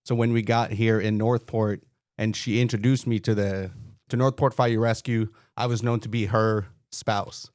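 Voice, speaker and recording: A man's voice: fairly low pitch, fairly even intonation, normal speed, a little mellow, no echo, studio quality. A man in his forties from Montreal with a Quebec French accent, French his first language, telling stories easygoing as a firefighter-paramedic guest on a podcast interview. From Montreal, Canada. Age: 40s.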